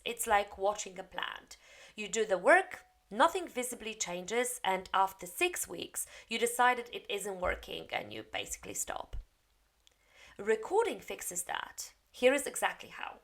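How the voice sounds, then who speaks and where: A woman's voice: medium pitch, sing-song, a little slow, fairly bright tone. Woman coaching English learners. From Poland. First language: Polish. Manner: encouraging, direct, practical. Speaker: English coach and TEFL teacher. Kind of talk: spoken lesson to camera.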